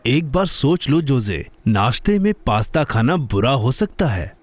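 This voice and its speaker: Lively, friendly cartoon companion. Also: male voice, medium pitch, a little slow, no room sound. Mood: questioning. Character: friendly, patient adult companion to a cartoon boy. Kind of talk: Hindi children's cartoon dub acting.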